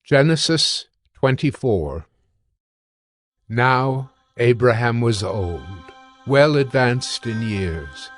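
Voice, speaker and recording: Measured male scripture narrator over soft music. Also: medium pitch.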